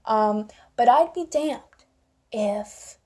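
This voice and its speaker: A woman's voice: a little high, drawn-out words, a little mellow. A young woman giving her opinions casually in a vlog, with an informal, wry way of talking. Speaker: video commentator.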